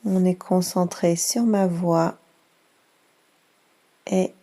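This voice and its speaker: A woman leading a guided yoga nidra session for children, speaking softly with long pauses between short phrases. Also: on the low side, fairly even intonation. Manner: slow, soft guiding voice with long pauses.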